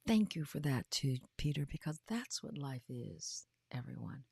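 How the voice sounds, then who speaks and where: A woman in her fifties or older giving a motivational talk as a host, with emphatic, drawn-out delivery that often drops into breathy, hushed phrases. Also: extremely low pitch, big pitch swings, no echo, studio quality. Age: 50s or older. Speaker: podcast host and coach. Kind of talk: motivational livestream talk to followers.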